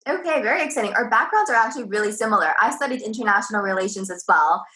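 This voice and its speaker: A woman hosting an interview podcast, upbeat and asking quick follow-up questions. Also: medium pitch, lively intonation, pushed and bright. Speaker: podcast host.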